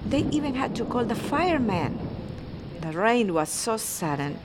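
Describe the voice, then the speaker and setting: A woman reading a voice-over translation in an expressive way. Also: fairly high pitch, a little slow, heavy background noise. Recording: loud storm sound effects behind voice.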